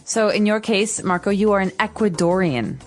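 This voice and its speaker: An American woman co-hosting an English lesson podcast, explaining words in a clear, careful way. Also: medium pitch, animated, moderate pace, faint music behind. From United States. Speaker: podcast co-host, English teacher. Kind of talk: scripted teaching dialogue.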